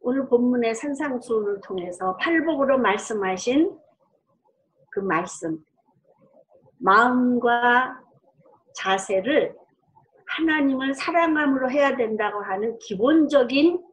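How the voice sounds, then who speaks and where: A middle-aged woman preaching as a pastor in an earnest, conversational way, with a strong, loud voice. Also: on the high side, expressive, a little slow, long pauses, low-quality recording. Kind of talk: sermon with personal testimony.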